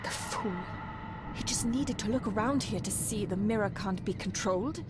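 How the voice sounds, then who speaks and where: A woman playing a blunt, sceptical but loyal companion in a 1920s mystery video game, with clear ambient noise. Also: medium pitch, normal speed, close to an everyday voice. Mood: blunt, concerned.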